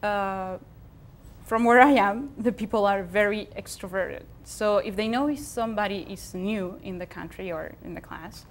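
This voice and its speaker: A woman's voice: mid-range voice, moderate pace, slight background noise. A young woman from Venezuela, co-hosting a casual TV studio chat, often laughing and restarting her sentences. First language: Spanish. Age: young adult. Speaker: co-host. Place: small TV studio.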